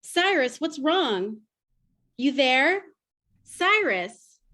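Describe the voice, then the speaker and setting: A woman's voice: high voice, sing-song, extremely slow, bright, forceful tone, heavily acted. A woman playing a sweetly doting wife on a call in an old-time radio drama. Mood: sweet, coaxing.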